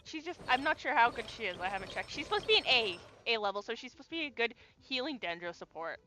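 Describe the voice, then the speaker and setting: A woman's voice: a little high, very animated, fast, sharp tone. A young adult woman streaming a game, chatting casually and rambling, swearing freely as she goes. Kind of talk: casual gaming chat.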